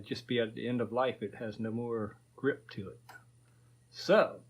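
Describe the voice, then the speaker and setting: A man's voice: medium pitch, poor audio. A man in his fifties casually narrating a repair to viewers, thinking aloud in a folksy way. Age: 50s. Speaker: host of a repair video channel.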